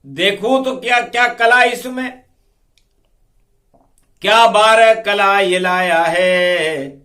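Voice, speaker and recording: Male devotional preacher, high bright voice, unhurried delivery that moves between sung rhyming verse and spoken explanation. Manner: declamatory, drawn-out verse lines broken by quick asides.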